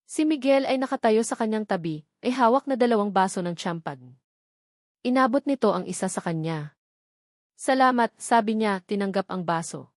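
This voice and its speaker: A woman narrates a scripted romance audiobook in a dramatic storytelling style. Her voice sits at a medium pitch. The recording is dry, with very little room around it. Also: animated, moderate pace, a little mellow, no room sound.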